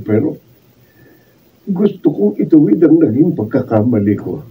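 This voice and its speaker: A man's voice: medium pitch, breathy edge. A man acting a guilt-ridden husband in a Filipino radio drama, apologetic and earnest as he pleads. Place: radio drama recording studio. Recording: phone-like, distant, slightly noisy pickup.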